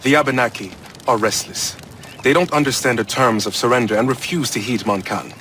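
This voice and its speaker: A man's voice: medium pitch, fairly quick, fairly bright tone, slight background noise, natural voice. A man playing an earnest colonial ally in a video game, speaking seriously and formally. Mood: grave, concerned. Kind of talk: video game cutscene acting.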